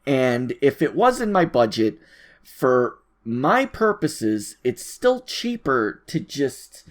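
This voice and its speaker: A man giving a casual, rambling solo audio log, thinking aloud in a halting, informal way and often repeating his phrases. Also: medium pitch, sing-song.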